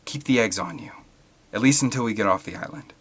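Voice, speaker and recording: Man playing a folksy, bright-voiced salesman dad. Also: fairly low pitch, fast talker, slightly rough. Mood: tentative. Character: pragmatic, anxious father and lifelong salesman.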